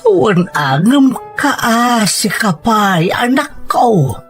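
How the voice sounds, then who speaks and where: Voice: mid-range voice, sing-song, drawn-out words, exaggerated voice. An actor playing a tender, worried elderly parent in an Ilocano Filipino radio drama, speaking with emotion. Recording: music under the voice.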